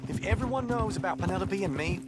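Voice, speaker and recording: Man playing anxious young lover, highish voice, expressive pitch, earnest, game drama, loud music. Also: fast talker, natural voice. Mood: worried. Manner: earnest, worried. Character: anxious, secretive young Southern gentleman lover. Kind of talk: video game dramatic acting. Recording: loud music and horse sounds behind.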